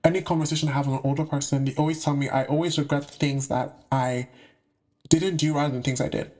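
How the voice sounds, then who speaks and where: A young man from the UK talks casually to the camera with a higher-than-usual voice. His speech is chatty and full of slang, with playful asides as he shares spiritual advice. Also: steady tone, fast talker, a little mellow. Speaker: spiritual content creator.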